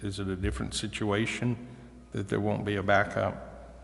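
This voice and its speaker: Low-voiced councilman asking questions. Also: little pitch movement, normal speed, vocal fry. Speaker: city council member. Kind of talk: questioning in a formal meeting. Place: council chamber. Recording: reverberant hall with faint noise.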